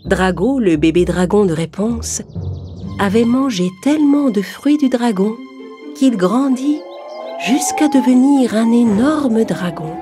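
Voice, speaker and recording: A woman's voice: on the high side, animated, measured pace. A woman narrator reading a scripted fairy tale for children. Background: background music.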